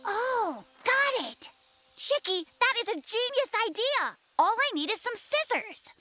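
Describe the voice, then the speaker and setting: An earnest, anxious little polar bear cub in a kids' cartoon. The very high, lively voice frets in singsong, with loud music underneath. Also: female voice, measured pace, full character voice. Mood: nervous resolve. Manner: fretful, rhyming singsong muttering. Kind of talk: children's cartoon voice acting.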